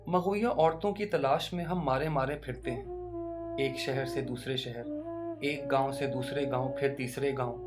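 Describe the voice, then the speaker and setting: A narrator reading a literary short story in a measured, dramatic way, with rhetorical questions and deliberate pauses. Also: medium pitch. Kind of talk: literary audiobook narration, scripted first-person short story. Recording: music bed under the narration. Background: instrumental music.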